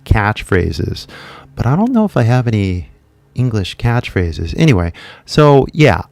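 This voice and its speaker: A man plays an upbeat, determined adult Japanese English learner who coaches himself out loud. His phrasing is slow, deliberate and repetitive, with lots of fillers. The voice sits fairly low and sounds dry and close, with no room around it. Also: no room sound. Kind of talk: modeled learner self-talk.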